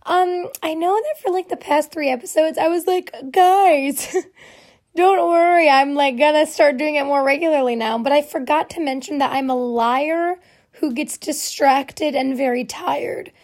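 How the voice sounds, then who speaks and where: A young woman hosts her own podcast, talking on and on in a loose, self-deprecating way. Her voice sits high but always sounds hoarse and raspy, like she's getting over a cold. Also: measured pace, a little mellow. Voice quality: hoarse, raspy voice from vocal cord nodules. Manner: rambling, chatty, self-deprecating with many fillers. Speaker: podcast host. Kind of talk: casual solo podcast chat.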